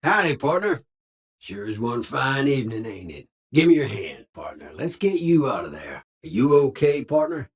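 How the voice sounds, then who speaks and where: An actor playing a folksy, eager, slightly sly cowboy robot with a hearty Western American drawl in a video game. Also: male voice, mid-range voice, sing-song, normal speed, husky. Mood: friendly, helpful.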